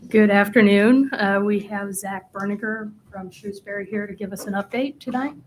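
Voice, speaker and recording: Flat-toned woman presenting at a meeting. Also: mid-range voice, moderate pace, poor audio. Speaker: town staff member presenting items. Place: indoor council meeting room. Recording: faint background noise.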